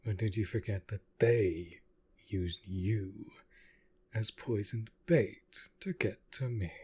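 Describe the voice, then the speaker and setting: A man playing an aloof, commanding yet protective vampire master in an intimate roleplay audio drama, speaking in a formal, measured way. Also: lowish voice, a little mellow, slightly rough, full character voice. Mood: cold, menacing. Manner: formal, measured, deliberate speech.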